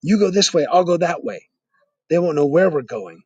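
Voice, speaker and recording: A man voicing an excited, urgent young boy from a picture book, exclaiming as he talks. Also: on the high side, normal speed, husky, dry recording, muffled recording, voice pushed up.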